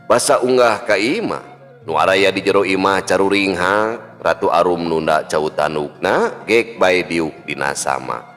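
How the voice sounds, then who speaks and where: A man's voice: low-pitched, measured pace, a bit bright. A man with a Sundanese accent narrating a folk tale in a storyteller's style. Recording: long hall reverb with music. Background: music.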